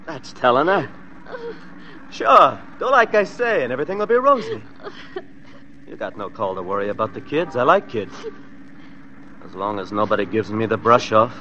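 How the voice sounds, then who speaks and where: An American actor playing a menacing, mocking fugitive killer giving orders in an old-time radio drama. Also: male voice, medium pitch, sing-song, moderate pace, pauses often, pushed and bright, muffled recording, slight background noise. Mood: mocking, gloating.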